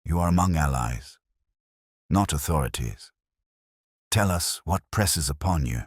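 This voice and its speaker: A cool-headed male detective as a man narrator plays him with a very deep, husky voice. He speaks in precise, declarative lines that swing theatrically in pitch, as in a mystery audiobook. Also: lively intonation, unhurried, dry recording, studio quality, heavily acted. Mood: measured, assured.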